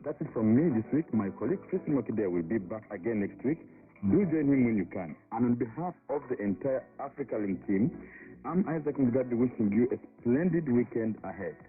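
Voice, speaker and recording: A man's voice: mid-range voice, expressive, a little fast, soft background music. A man hosting a radio news programme, reading scripted announcements and headlines over a shortwave broadcast. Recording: muffled narrow-band shortwave radio.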